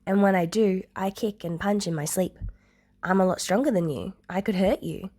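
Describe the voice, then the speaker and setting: A woman's voice: mid-range voice, normal speed, warm tone, lightly acted. A woman voicing a caring older girl from a story, casual and reassuring, cheerful with an awkward streak. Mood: subdued, honest.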